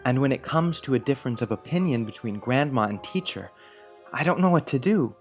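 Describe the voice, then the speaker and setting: An actor playing a serious, polite young schoolboy who speaks earnestly and deliberates aloud in a dramatised audiobook. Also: mid-range voice, lively intonation, soft background music, natural voice. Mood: earnest, puzzled.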